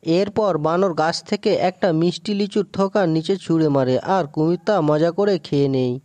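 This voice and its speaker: Guy narrating an animal fable, soft mellow tone, slightly high voice, really dry recording. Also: moderate pace, no echo. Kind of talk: scripted children's fable narration.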